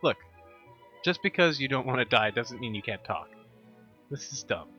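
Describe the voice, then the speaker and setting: A man banters through an improvised comedy podcast in a bright, animated voice. He stays dry and mock-serious, with a slightly muffled sound and faint music underneath. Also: mid-range voice, normal speed. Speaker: podcast co-host. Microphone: studio microphone.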